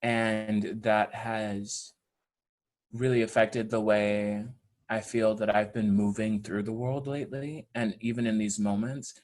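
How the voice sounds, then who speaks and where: A man actor talking reflectively in an interview, earnest and measured, choosing his words with care. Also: on the low side, level tone, darkish tone. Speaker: stage actor in an audio play.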